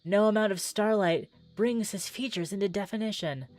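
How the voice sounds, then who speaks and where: A woman giving a dramatic, deliberate read-aloud of narrative game text, pausing for effect. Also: medium pitch, a little mellow. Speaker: streamer playing a visual novel demo. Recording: music playing under the voice.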